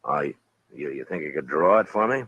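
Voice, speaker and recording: An American man acting a stern, weary Old West marshal in a radio Western, speaking in a gruff, terse, authoritative way. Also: rumbling low, lively intonation, fairly quick, heavily acted. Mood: dry, probing. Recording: muffled old radio recording.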